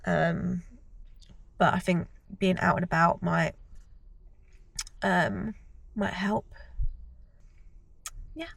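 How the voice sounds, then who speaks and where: A woman's voice: fairly low pitch, steady tone, extremely slow, creaky, long pauses, dry recording. Woman, bunged-up cold voice, rambling casual vlog, very dry close sound, car. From United Kingdom. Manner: chatty and rambling, with many ums and self-corrections. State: congested with a cold. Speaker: self-employed nail tech vlogger. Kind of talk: casual vlog chat to camera. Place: inside a parked car. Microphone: handheld camera.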